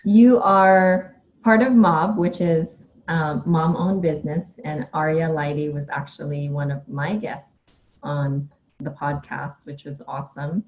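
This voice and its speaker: A woman's voice: sits low, fairly even intonation, slow, a little mellow, poor audio. A woman hosting a podcast, interviewing a guest in a casual and supportive way, often offering short affirmations. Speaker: podcast host.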